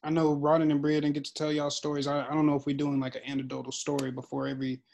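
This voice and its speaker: A man's voice: fairly high pitch, completely flat delivery, rapid-fire, gravelly, a little mellow, a little hiss or hum. A young man moderating a casual group discussion, thoughtful and rambling with many fillers.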